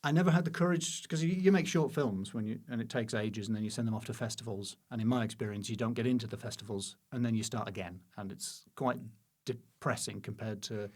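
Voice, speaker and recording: A man's voice: on the low side, a little fast, hardly any pauses, darkish tone. A British man, a comedian appearing as a podcast guest, chatting casually in a wry, self-deprecating and slightly hesitant way. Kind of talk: casual interview conversation.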